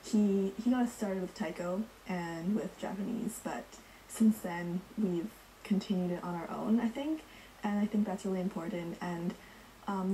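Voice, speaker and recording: A teenage girl with a mellow, warm voice answers questions in an earnest, halting way. She sounds a little far from the mic, with faint noise behind her. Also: mid-range voice. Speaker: daughter, young taiko drummer. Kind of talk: interview answers.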